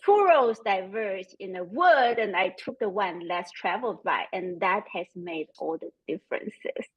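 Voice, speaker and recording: A woman in her forties with a German-Chinese accent, speaking as a panelist in a measured way with frequent fillers. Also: medium pitch, lively intonation, slightly rough, dull audio. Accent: German and Chinese mixed. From Austria. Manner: measured, with frequent "um" and "you know". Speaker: panelist, company CEO. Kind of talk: panel discussion.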